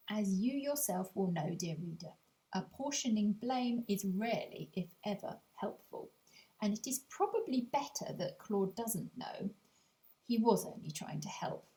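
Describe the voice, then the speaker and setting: A woman reads her own children's audiobook at a measured pace, with a voice of middling pitch. Her delivery is gently wry, and she often turns to speak straight to the reader. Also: low-quality recording. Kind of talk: scripted audiobook narration.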